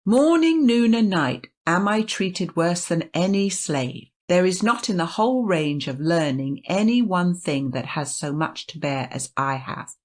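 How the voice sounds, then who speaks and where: A woman's voice: fairly low pitch, expressive, measured pace, few pauses, darkish tone, voice pushed up. A woman voicing a melodramatic male number seven who complains of his ill treatment, speaking in a raised, plaintive voice. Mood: aggrieved. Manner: plaintive, declamatory.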